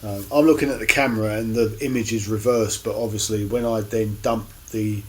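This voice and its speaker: A man giving a casual, unscripted show-and-tell to camera as a record collector, in a halting, rambling way with many pauses. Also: on the low side, fairly even intonation, background noise. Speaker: record collector presenting finds on his channel.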